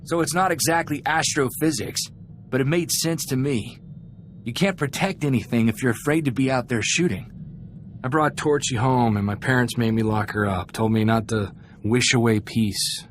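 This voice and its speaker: A man's voice: mid-range voice, normal speed, close to an everyday voice. An actor playing an earnest, idealistic young freighter crewman in a video game, talking in a folksy, chatty way. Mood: reflective, sincere. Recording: clear background ambient noise.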